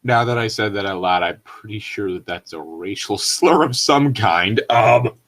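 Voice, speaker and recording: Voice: medium pitch, expressive, moderate pace. A co-host giving a comedic dramatic reading of fan fiction, with sarcastic and sweary joking asides. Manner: sarcastic, profane.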